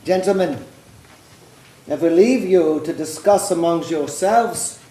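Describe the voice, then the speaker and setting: An actor playing a taunting, grandiose Nazi secret police captain in a stage play, speaking deliberately. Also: male voice, on the high side, dark tone. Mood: mocking, coaxing. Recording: echoey stage recording with noise.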